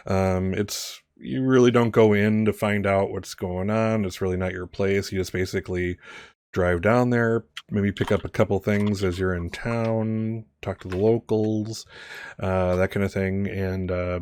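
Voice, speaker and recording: A man's voice: deep voice, little pitch movement, moderate pace, darkish tone. A man narrating a tabletop role-playing game as game master, conversational and rambling, often correcting himself.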